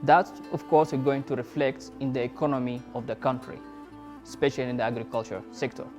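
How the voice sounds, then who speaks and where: Man, startup founder, earnest, halting phrasing, mid-pitched voice, faint music behind. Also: fairly even intonation. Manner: earnest, searching for words. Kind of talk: personal story interview.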